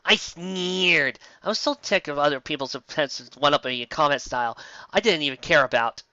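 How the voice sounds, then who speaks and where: Theatrical, exasperated reader with a bright voice. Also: mid-range voice, a little fast, no echo, highs cut off. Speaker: host reading fan fiction aloud. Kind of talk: comic dramatic reading with asides.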